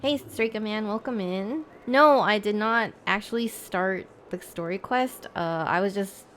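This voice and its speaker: A woman's voice: mid-range voice, measured pace, fairly bright tone, slight background noise. A woman livestreamer chatting casually with her viewers, thinking aloud in a hesitant, rambling way. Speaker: live streamer.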